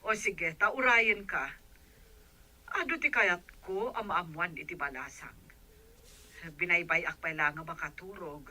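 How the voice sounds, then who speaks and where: A woman's voice: on the low side, a little slow, long pauses, sharp tone, full character voice. A woman playing a caring, worried adult explaining things in an Ilocano radio drama. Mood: concerned.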